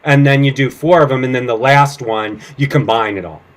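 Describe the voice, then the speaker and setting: A man's voice: mid-range voice, fairly quick. A middle-aged man chatting casually as an interview guest, telling stories in an informal way and acting out bits of dialogue. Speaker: guest, actor and improv comedian. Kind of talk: casual chat, anecdotes.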